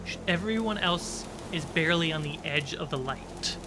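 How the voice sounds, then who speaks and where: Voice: medium pitch, moderate pace. A game master narrating a tabletop roleplaying game in descriptive, suspenseful storytelling. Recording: clear background noise.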